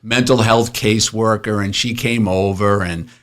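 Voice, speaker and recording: A man's voice: on the low side, steady tone, moderate pace, a bit bright. A man telling police anecdotes in a casual, blunt, joking way with some swearing, like an officer recalling the job. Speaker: retired police officer telling stories on a show.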